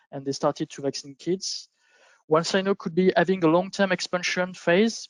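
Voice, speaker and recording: A man speaking as an investment panelist, giving formal, measured, prepared-sounding explanations on a conference call. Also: a little high, no room sound, low-quality recording. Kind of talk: prepared roundtable commentary on markets.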